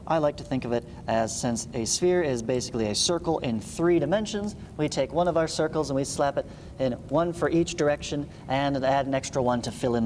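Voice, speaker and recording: A man's voice: medium pitch, darkish tone. A man giving a read-along lesson as a teacher, reading aloud and breaking off for informal, folksy explanations. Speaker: math teacher. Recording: echoey room, clearly audible background noise.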